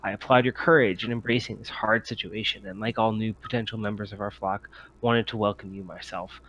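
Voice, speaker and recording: A man's voice: lowish voice, fairly even intonation, brisk, a bit bright, low-quality recording. A man voicing an eagerly welcoming, formal cult leader in a tabletop game. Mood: grand welcome.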